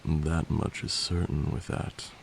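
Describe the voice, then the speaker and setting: A man playing a patient, stern vampire lord who teaches magic in a measured, formal ASMR roleplay. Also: very deep voice, level tone, gravelly, a little hiss or hum, heavily acted. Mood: wry, observing.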